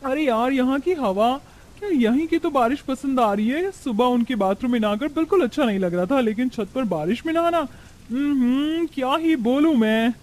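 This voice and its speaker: A man voicing a haughty young modern city daughter-in-law, sassy and defiant, mixing in English words, in an animated story. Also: extremely high pitch, moderate pace, pitched above the performer's own voice. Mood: pleased, complaining.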